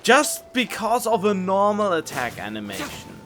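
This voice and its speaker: A male streamer chats casually over game music, thinking out loud. His voice sits a little high and moves up and down a lot, at a relaxed, slightly slow pace. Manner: informal, musing aloud. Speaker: gaming streamer. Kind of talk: casual gameplay commentary. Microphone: studio condenser microphone.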